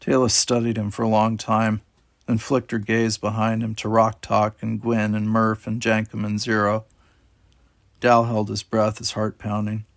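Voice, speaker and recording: A man's voice: fairly low pitch, monotone, unhurried, vocal fry, no echo, clean studio sound. A man reading an audiobook, narrating a scripted story. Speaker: audiobook reader.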